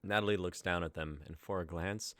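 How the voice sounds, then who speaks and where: A man's voice: deep voice, steady tone, a little fast, a bit bright, no room sound. A young man reading scripted story narration and stage directions as the narrator of a dramatic reading. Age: young adult.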